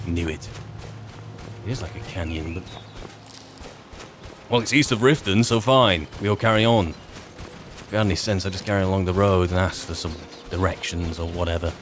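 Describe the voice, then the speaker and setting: Low-voiced man musing through a roleplay game. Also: normal speed, long pauses, noisy background. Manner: musing, wry, rambling. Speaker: gaming video host. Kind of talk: improvised roleplay game commentary.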